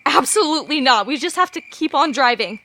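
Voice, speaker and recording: A young woman playing an anxious, guilt-ridden teenage girl in an audio play, earnest, apologetic and quick to justify herself. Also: high-pitched, expressive, pushed and bright, exaggerated voice. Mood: panicked, frantic.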